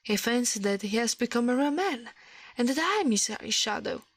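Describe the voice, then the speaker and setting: A woman's voice: on the high side, lively intonation, moderate pace, dry recording. A woman voicing a smug, scheming male shadow in a storybook reading, imperious and falsely reasonable. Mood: feigned sorrow.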